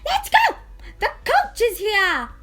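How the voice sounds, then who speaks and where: A woman's voice: very high voice, wildly swooping pitch, slow pace, bright, forceful tone, soft background music, pitched above the performer's own voice, full character voice. A female reader voicing a gushing, bossy middle-aged stepmother in a storybook, effusive and commanding. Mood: excited, commanding. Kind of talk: storybook character voice.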